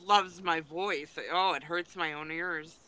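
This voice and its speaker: Man, bright and clean voice, somewhat high, upbeat, casual live-stream panelist. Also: steady tone, slow, clear, resonant tone, rough recording. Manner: self-conscious about his voice, eager and upbeat.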